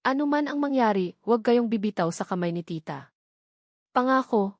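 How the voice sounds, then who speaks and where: A woman's voice: a little high, expressive, normal speed, no room sound, studio quality. A Filipino woman voicing a desperate, anguished young aunt in a dramatic love story, pleading and emotional. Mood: urgent, tender.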